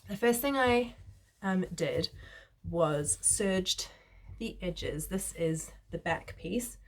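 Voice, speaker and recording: Female sewing vlogger, New Zealand accent, lower register, a touch hoarse with a cold, informal chatty delivery. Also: expressive, slow. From New Zealand, Christchurch. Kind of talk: casual sewing tutorial chat.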